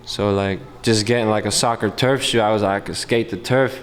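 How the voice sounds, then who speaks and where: Young male guest, Spanish first language, low voice, slangy and relaxed, hall echo. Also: fairly quick, slight background noise. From California. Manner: laid-back slangy talk, lots of fillers. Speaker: guest skater. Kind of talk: casual interview chat. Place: live event hall. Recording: reverberant hall.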